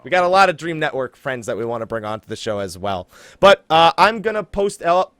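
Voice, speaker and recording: Animated American man hosting a gaming podcast. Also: on the high side, brisk, dry recording. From New Jersey, USA. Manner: jokey, informal, breaks off to laugh and ad-lib. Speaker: podcast host. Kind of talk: casual gaming podcast talk and announcements.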